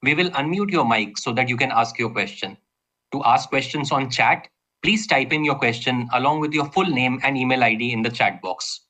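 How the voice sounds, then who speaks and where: A man's voice: medium pitch, moderate pace, pushed and bright. A man moderating a conference call with formal, polite scripted announcements.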